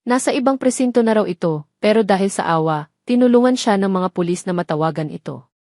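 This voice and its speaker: A woman reading a scripted dramatic story as narrator, measured, with pauses between short phrases. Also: medium pitch, expressive, a little mellow, no echo, clean studio sound.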